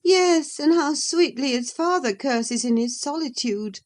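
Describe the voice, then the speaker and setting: A woman's voice: sits high, lively intonation, measured pace, a little mellow, pitched above the performer's own voice. A woman reading an audiobook, voicing a passionate, willful young woman who speaks with emphatic feeling. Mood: impassioned. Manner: impassioned, emphatic.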